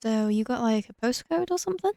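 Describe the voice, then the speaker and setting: Mellow, wry woman commenting on gameplay. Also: a little high, animated, a little fast, vocal fry, dry recording. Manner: dry, wry asides. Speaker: let's play streamer. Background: game music.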